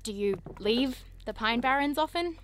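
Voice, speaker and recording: A woman's voice: high-pitched, animated, moderate pace, a little hiss or hum. A woman playing an eager, starstruck young monster enthusiast in an audio drama, earnest, polite and gushing. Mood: curious, friendly.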